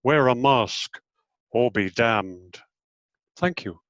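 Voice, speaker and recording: A middle-aged man reading poetry in a measured, deliberate way with clear pauses. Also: mid-range voice, lively intonation, a bit bright, no echo, dull audio. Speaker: poet.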